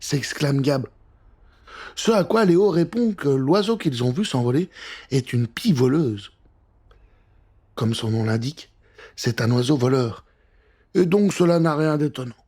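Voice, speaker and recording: A man narrating a children's story in an expressive, theatrical and conspiratorial way, speaking straight to the listener. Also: mid-range voice, unhurried, long pauses, husky. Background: music.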